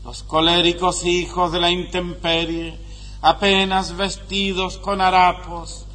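A man reciting poetic prose in a solemn, measured monotone. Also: sits high. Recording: faint noise and hum.

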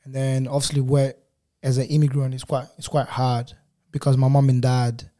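Guest voice: young man, first language Portuguese, mellow timbre, loose and rambling storytelling. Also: mid-range voice, moderate pace. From Angola, raised in Portugal. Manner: relaxed, rambling, many fillers and restarts. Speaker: guest photographer. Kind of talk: casual interview chat.

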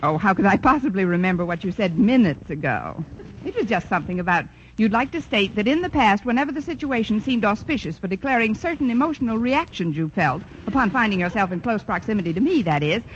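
An actress playing a sardonic, wisecracking English teacher in an old radio comedy. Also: female voice, medium pitch, lively intonation, fast talker, close to an everyday voice. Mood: wry. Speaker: schoolteacher. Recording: dull old radio broadcast, noisy.

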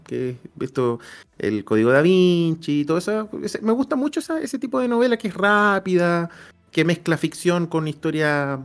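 A man with a Chilean accent co-hosting a live stream on a video call, chatting casually and repeating himself for emphasis. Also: medium pitch. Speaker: co-host of a role-playing live stream. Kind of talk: casual chat. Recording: music playing behind the voice.

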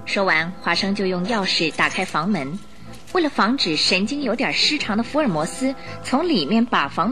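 Woman narrator with expressive, animated pitch. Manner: storytelling, expressive. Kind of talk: scripted radio drama narration.

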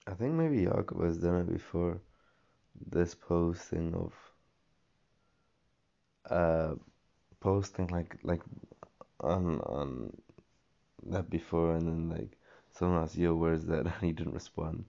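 A young man rambles on in a slangy, meandering monologue, full of fillers and false starts, his voice worn and hoarse. His tone sits deep and flat, with a dark, gravelly edge. The recording sounds dull and lo-fi. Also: takes it slowly, long pauses. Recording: dull lo-fi audio.

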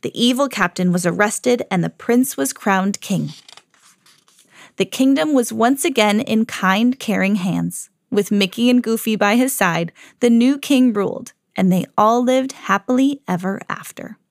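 A mother hosting her own podcast, reading a children's storybook aloud with lively jumps for the quoted lines. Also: mid-range voice, unhurried, gravelly, dry recording, clean studio sound. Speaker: mom reading to her kids, podcast host.

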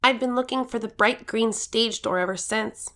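A woman voicing an earnest, expressive 13-year-old game-show contestant who narrates their own story in an audio drama. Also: on the high side, normal speed, natural voice. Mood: grieving.

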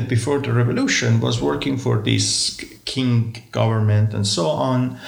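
A man's voice: medium pitch, vocal fry. A man from Iran, a guest telling his life story in a rambling, wry way. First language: Persian. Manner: rambling, wry, jokes about his past. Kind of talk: storytelling in conversation. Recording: echoey room.

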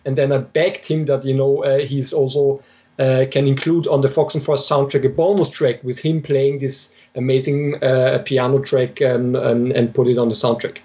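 A man's voice: medium pitch, flat delivery, moderate pace. Austrian guy, game dev, lots of uh and um, mid voice, kinda muffled lo-fi sound. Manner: hesitant, many "uh"s, warm storyteller. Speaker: game developer guest. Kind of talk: casual interview chat. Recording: dull lo-fi audio.

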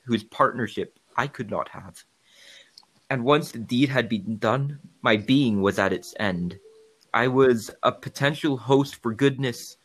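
A man's voice: fairly low pitch, fairly bright tone, rough recording. A man playing an anguished, vengeful outcast monster, delivering a formal dramatic monologue. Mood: solemn, vengeful. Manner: formal, solemn, declamatory. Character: Frankenstein's creature, bitter, anguished, solemn, vengeful outcast monster. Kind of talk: dramatic monologue in archaic, literary language.

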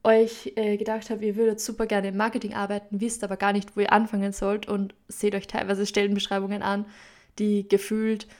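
A young woman with an Upper Austrian accent talks through her podcast in a loose, chatty way. Her pitch stays fairly level, and she fills pauses with small hesitations and drops in English words. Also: completely flat delivery, a little mellow. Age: 20s. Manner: chatty, many fillers, mixes in English loanwords. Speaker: podcast host. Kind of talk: casual solo podcast talk.

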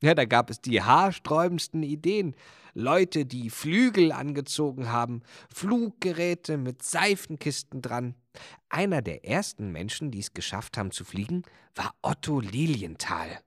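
Man, children's presenter, enthusiastic storyteller, very animated pitch, dry sound. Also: a little slow, no room sound. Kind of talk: scripted narration for children.

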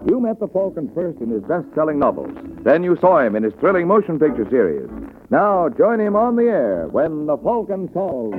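A man announcing and narrating a 1950s radio drama in a dramatic, booming manner. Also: big pitch swings, moderate pace. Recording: tinny old radio broadcast with organ music.

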